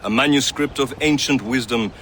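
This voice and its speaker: A man acting as a solemn leader of Assassins in a video game. The voice is mid-pitched and forceful, the delivery formal and deliberate, over faint outdoor noise. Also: close to an everyday voice. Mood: grave. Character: grave, commanding male Assassin mentor.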